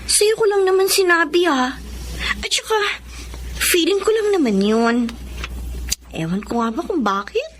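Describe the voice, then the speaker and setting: Excitable young daughter, distrustful, really high swingy voice, noisy background. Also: female voice, unhurried, pauses often, full character voice. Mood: doubtful, defensive. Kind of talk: Tagalog radio drama acting.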